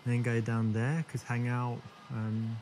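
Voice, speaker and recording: A man's voice: mid-range voice, little pitch movement, slow pace, darkish tone, soft background music. A man in his thirties with a London accent, playing a friendly guy chatting up a woman in a café, a bit rambling. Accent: London English. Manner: easygoing, chatty, a little rambling. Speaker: player character in a dating game. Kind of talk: filmed game scene, casual chat. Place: café interior.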